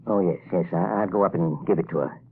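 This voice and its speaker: Stammering husband, low gravelly voice, lively pitch, tinny 1930s radio broadcast. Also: male voice, fast talker. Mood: evasive. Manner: stammering, evasive. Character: nervous, shifty male professor, a husband. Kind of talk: 1930s radio drama acting.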